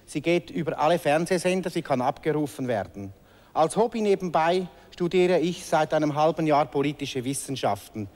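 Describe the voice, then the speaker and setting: A man's voice: on the high side, lively intonation, normal speed, a little mellow. A man introducing himself with mock seriousness as a game show contestant in a TV studio. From Switzerland. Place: large TV studio. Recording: reverberant TV studio with audience.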